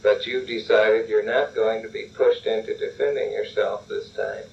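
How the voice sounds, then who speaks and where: Low-voiced man guiding relaxation. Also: normal speed, creaky, a little hiss or hum. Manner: calm, instructive, repeatedly urging relaxation. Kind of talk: guided relaxation and role-play exercise. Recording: dull lo-fi recording from a distance.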